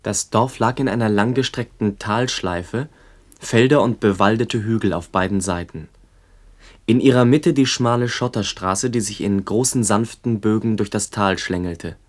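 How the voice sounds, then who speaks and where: A man narrating in a radio play as a sober chronicler of a remembered village, measured and descriptive. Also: on the low side, lightly acted. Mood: sober, evocative.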